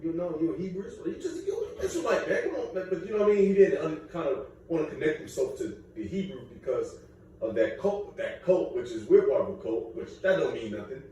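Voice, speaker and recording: A man's voice: a little high, very animated, fast talker, dark tone. A man in a class discussion, talking emphatically in a casual way. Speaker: class participant. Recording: echoey room, distant mic, ambient noise.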